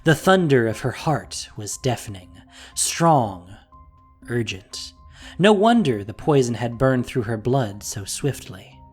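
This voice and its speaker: Expressive audio drama narrator. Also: medium pitch, slow, slightly rough, faint music behind. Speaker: podcast narrator and producer.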